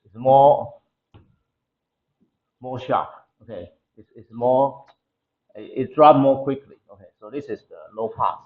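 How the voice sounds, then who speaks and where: A man with a Taiwanese accent lecturing to a class, explaining technical material haltingly and often repeating his phrases. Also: medium pitch, phone-quality sound. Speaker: university lecturer. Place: indoor classroom.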